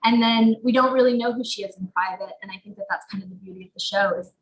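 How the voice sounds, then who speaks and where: Young woman actor, Portuguese native, casual rambling interview talk with a gravelly edge. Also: medium pitch, fast talker. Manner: chatty, lots of fillers like "um" and "like". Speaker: actor guest. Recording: roomy echo.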